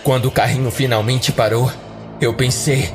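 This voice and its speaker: A man's voice: medium pitch, little pitch movement, normal speed. A man with a Brazilian accent, a podcast host, giving a dramatic scripted first-person horror narration. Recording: background music under the voice. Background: music.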